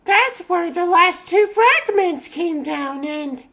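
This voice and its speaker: A woman's voice: very high-pitched, animated, slow pace, a bit bright, exaggerated voice. A woman voicing a breezy, confident animal superheroine in a comic dub, theatrical, with music underneath. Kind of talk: comic dub character acting.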